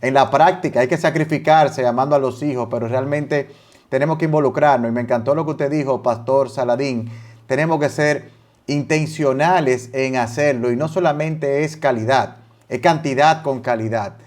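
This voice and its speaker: A man's voice: medium pitch, normal speed, darkish tone. A man hosting a talk program, summing up the discussion with enthusiasm. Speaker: program host. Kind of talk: studio talk show hosting.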